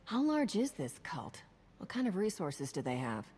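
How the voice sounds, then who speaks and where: A woman's voice: fairly low pitch, huge pitch swings, normal speed, a little mellow, husky, in a large hall, heavily acted. A woman playing a tough, wry sci-fi hero in a video game, speaking in a cool, dry way. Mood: curious. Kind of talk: video game cutscene acting.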